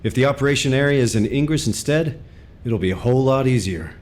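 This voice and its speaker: A man's voice: medium pitch, lively intonation, fast talker, a little mellow, close to an everyday voice. A man voicing a composed, decisive commander. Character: steady male commander, authoritative and caring. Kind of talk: game cutscene dialogue.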